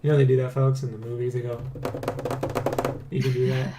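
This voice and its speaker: A man hosting a development blog in casual, excitable, rambling chat, jokily boastful and swearing freely. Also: mid-range voice, fairly quick, noisy background. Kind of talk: casual vlog chat, addressing viewers.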